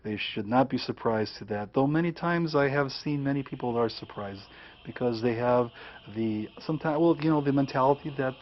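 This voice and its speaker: A man's voice: mid-range voice, brisk. A man, a clergyman, talking conversationally in an interview. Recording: dull, lo-fi interview audio.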